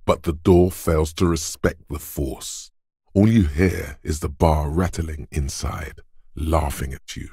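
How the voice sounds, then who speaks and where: An actor voicing a gruff, macho inner voice of physical strength for a video game, like a drill coach, blunt, taunting and commanding, with a gravelly, husky tone. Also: male voice, extremely low pitch, steady tone, slow, warm tone, no echo, clean studio sound, full character voice. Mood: slow narration.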